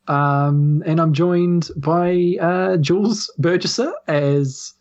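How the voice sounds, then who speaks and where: A man, casually hosting and recapping as game master, with halting, repeating phrasing. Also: a little high, darkish tone, highs cut off. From New Zealand. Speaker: podcast host and game master.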